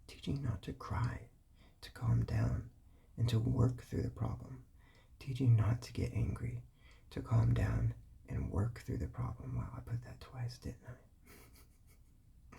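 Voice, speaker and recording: Whispering gravelly man giving advice. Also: mid-range voice. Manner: calm, patient, repeats his points. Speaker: parent giving parenting advice.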